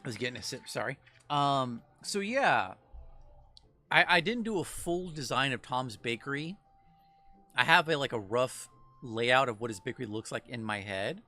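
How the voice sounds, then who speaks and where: Bright-voiced rambling man. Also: medium pitch, lively intonation, normal speed. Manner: rambling, self-interrupting, laughs often. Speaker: game developer guest. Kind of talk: casual stream chat.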